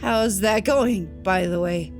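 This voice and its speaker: Casting: a quirky, earnest field agent, audio drama, blunt candid delivery, gravelly fry, faint music behind. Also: female voice, mid-range voice, slow pace. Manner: matter-of-fact, candid. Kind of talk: naturalistic audio drama dialogue.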